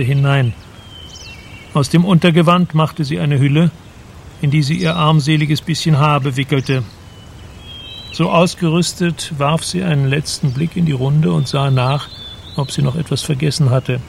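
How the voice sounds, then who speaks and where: A male narrator reads literary prose for a radio drama. His voice is fairly high for a man and strongly creaky. A faint layer of noise sits behind him.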